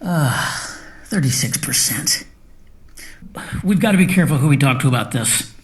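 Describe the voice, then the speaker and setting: A man's voice: mid-range voice, animated, normal speed, lightly acted. A man acting as a grave, pragmatic scientist admonishing a colleague in an audio drama. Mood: grave, cautioning. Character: cautious, pragmatic male scientist colleague, stern.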